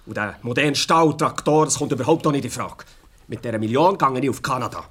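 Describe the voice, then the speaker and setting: An actor playing a bitter, greedy farmhand and stepson in a radio play, blunt, with a Swiss German accent. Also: male voice, medium pitch, animated, a little fast, fairly bright tone, breathy edge. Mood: bitter, defiant. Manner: blunt, resentful.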